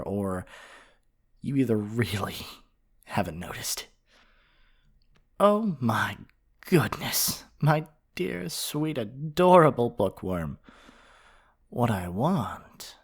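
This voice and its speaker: A man's voice: medium pitch, husky, heavily acted. A man playing a flirty, possessive half-dragon librarian in an intimate ASMR roleplay, speaking earnestly and affectionately with tender endearments. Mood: confessing, exasperated. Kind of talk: ASMR romantic roleplay monologue.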